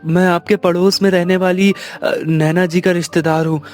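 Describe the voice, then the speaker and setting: A man's voice: high voice, level tone, moderate pace, a little mellow, soft background music, natural voice. An actor playing a polite, persuasive young businessman in a Hindi family cartoon.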